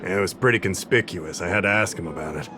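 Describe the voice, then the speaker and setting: An actor playing an affable, easygoing investigative official in a video game, conversational and explanatory. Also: male voice, deep voice, quick pace, over music, close to an everyday voice. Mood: casual, forgetful. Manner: conversational, slightly absent-minded, explanatory. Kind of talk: video game character acting.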